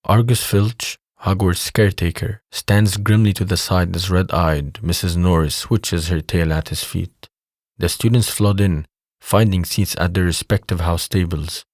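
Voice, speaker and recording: A man's voice: sits low, steady tone, a little slow, a little mellow, dry recording, studio quality. A man reading scripted narration for an audio drama as its narrator.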